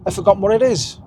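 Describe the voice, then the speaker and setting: Welsh man in his 50s, higher voice, rambling car-vlog chat, faint road hum behind. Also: darkish tone. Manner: chatty, rambling, chuckles at himself. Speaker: vlogger. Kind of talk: casual driving vlog. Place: inside a car while driving.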